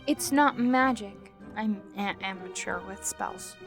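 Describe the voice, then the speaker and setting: A woman's voice: mid-range voice, slow, soft background music, lightly acted. A woman playing a proud, guarded young female unicorn in a scripted audio drama, speaking with formal, precise wording. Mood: explaining, subdued.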